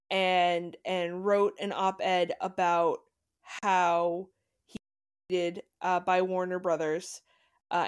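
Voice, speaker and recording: A woman's voice: medium pitch, clean tone. A woman co-hosting a podcast, chatting casually with lots of asides and fillers. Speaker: podcast co-host. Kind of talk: casual chat.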